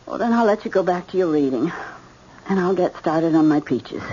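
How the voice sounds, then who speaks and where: An actress playing an anxious, fussing American mother in an old-time radio drama, halting and repeating herself. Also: on the low side, lively intonation, dark tone, lo-fi sound, a little hiss or hum, natural voice. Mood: settled.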